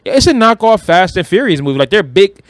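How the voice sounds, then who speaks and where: A man's voice: high-pitched, big pitch swings, brisk, dry recording. A man from Detroit with an American accent, chatting casually and slangily about movies as a podcast co-host, in a joking storyteller's manner. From Detroit, United States.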